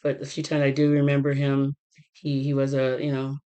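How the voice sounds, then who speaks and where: A woman tarot reader talking casually to her viewers, halting with many fillers and restarts while getting over a cold. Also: rumbling low, completely flat delivery, mellow, clear, resonant tone, highs cut off. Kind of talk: casual rambling vlog talk.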